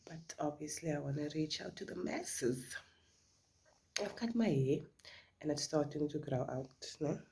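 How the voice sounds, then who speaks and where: A woman's voice: low voice, expressive, measured pace, vocal fry, pauses often, a little mellow, a little hiss or hum. A middle-aged South African woman, Afrikaans her first language, chatting casually as she does her makeup for a vlog, often dropping into a whisper. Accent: South African English. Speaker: beauty vlogger. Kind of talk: casual makeup chit-chat.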